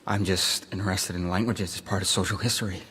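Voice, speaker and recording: An actor in a radio drama playing a frightened, exhausted young student who is held captive and on the run. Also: male voice, low-pitched, steady tone, quick pace, bright, forceful tone, slightly rough, full character voice. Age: young adult.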